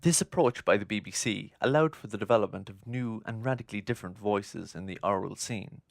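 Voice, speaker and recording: A man narrating a scripted documentary in a measured, essay-like delivery. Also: lowish voice, gravelly, no echo, clean studio sound.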